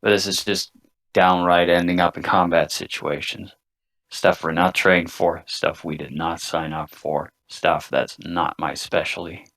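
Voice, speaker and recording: A man playing a jaded, grumbling scientist in a tabletop roleplaying game, with gruff, profane, world-weary talk. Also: sits low, flat delivery, a little slow, fairly bright tone. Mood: disgruntled. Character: jaded, grumbling male union scientist with medical training. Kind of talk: tabletop roleplaying, improvised dialogue.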